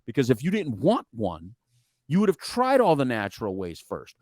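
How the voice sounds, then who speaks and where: A man's voice: medium pitch, huge pitch swings, moderate pace, darkish tone, dry recording. Emphatic man preaching advice, dry sound. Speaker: podcast co-host. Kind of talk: casual advice talk.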